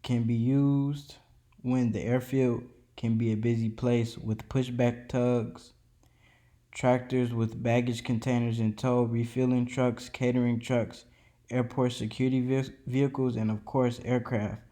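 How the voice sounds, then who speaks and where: Flat, mellow-voiced man reading a lesson. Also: mid-range voice, measured pace. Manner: reads steadily from a script, with small slips and restarts. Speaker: student presenter. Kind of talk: read-aloud educational narration.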